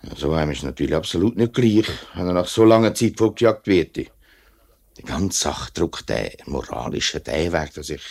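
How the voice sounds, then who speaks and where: Deep-voiced, earnest Swiss union man. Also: animated, normal speed, creaky, a little mellow, exaggerated voice. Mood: earnest pleading. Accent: Swiss German. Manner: earnest, hesitant, respectful. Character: earnest, upright middle-aged male union workman, deferential. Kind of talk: 1950s radio play acting.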